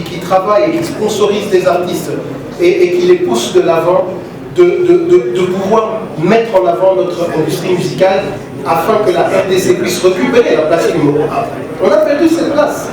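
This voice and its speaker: Man, Congolese accent, earnest hesitant press statement, echoey hall, crowd. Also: sits high. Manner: earnest, hesitant, many repeated words and fillers. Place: large hall at a press conference. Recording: echoey hall, distant mic, crowd noise.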